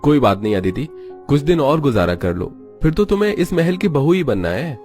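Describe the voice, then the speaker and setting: A man's voice: a little high, big pitch swings, moderate pace, darkish tone, soft background music. A man voicing an earnest, devoted young husband in a cartoon story. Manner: earnest, pleading.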